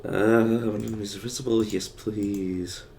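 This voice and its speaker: A young man with a very low, hoarse voice that is wearing out. He rambles through his gameplay commentary with lots of fillers and restarts, talking to chat as he plays. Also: flat delivery, unhurried, darkish tone, slight background noise. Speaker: livestreamer playing a game.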